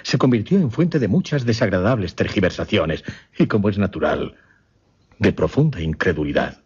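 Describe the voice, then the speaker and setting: An actor playing a courteous, earnest gentleman in a radio drama, speaking formally and with some hesitation. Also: on the low side, slightly rough. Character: courteous, earnest gentleman host, troubled by a scandal. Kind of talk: Spanish-language radio drama acting. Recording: muffled, lo-fi radio drama recording.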